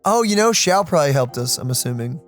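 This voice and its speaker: A man's voice: fairly high pitch, animated, fast talker, soft background music. A man giving casual, jokey, slangy let's play commentary as a streamer.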